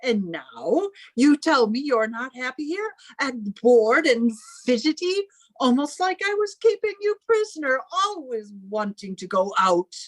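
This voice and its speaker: Stern, high-voiced older spinster. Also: female voice, big pitch swings, unhurried, dry recording, full character voice, low-quality recording. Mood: reproachful, indignant. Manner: stern, reproachful, formal. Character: Emma, graying, commanding, possessive, suspicious elder spinster sister. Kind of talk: old time radio drama acting.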